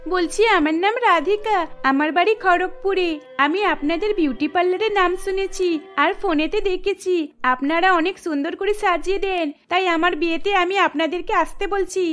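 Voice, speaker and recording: Woman to play a young bride-to-be in a cartoon, friendly, plain, high voice. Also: normal speed, a bit bright.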